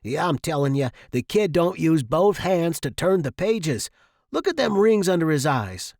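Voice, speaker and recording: A man's voice: mid-range voice, very animated, a little slow, no room sound. A crude, bullying working-class father, acted out by a male narrator for an audiobook. He is coarse and blustering, with a short temper and slangy speech. The sound is close and dry.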